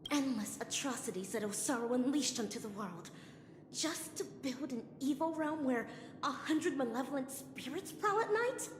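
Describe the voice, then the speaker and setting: A woman's voice: high voice, expressive, normal speed, noisy background. An actress playing a ninja-obsessed young woman who declaims in a theatrical, old-fashioned way. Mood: dramatic. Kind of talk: video game voice acting. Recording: roomy game audio with music.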